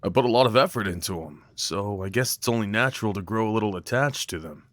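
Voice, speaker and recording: A man's voice: on the low side, expressive, quick pace, a bit bright, no echo. A man playing an affectionate, playful boyfriend in an intimate ASMR roleplay, talking tenderly and rambling with light teasing. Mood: fond, pleased. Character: affectionate, playful, crafty boyfriend cuddling his partner.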